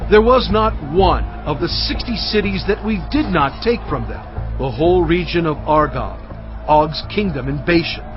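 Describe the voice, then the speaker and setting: A man as Moses, earnest and measured. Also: on the high side, highs cut off. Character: Moses, an aged leader recounting conquests. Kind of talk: dramatised scripture reading.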